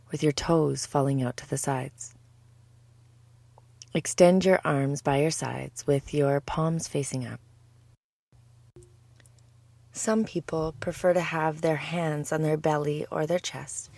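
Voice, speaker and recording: A woman with a low, mellow voice guides a yoga nidra practice. She phrases everything deliberately and soothingly, like a teacher leading relaxation. The recording is close and dry, with no room sound. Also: vocal fry, dry recording. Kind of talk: guided meditation narration.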